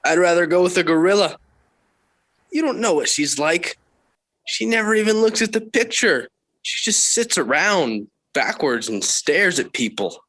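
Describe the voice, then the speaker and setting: A man's voice: high voice, animated, normal speed, a bit bright. An American male actor playing a reluctant, sarcastic teenage son in a 1940s radio sitcom. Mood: grumbling. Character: grumbling, reluctant teenage son and big brother.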